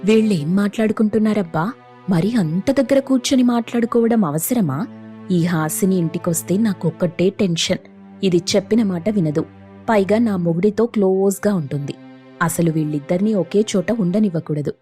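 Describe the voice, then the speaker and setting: A woman's voice: medium pitch, normal speed, warm tone, faint music behind, close to an everyday voice. A woman playing an anxious, jealous wife and elder sister who scolds and bosses in a family story. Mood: suspicious, jealous. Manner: scolding, suspicious.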